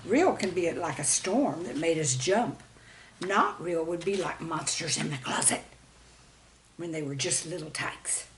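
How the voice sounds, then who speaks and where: A woman reading a scripted children's story with expressive, dramatic storybook emphasis. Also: low voice, unhurried, slight background noise. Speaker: storyteller and author reading own stories.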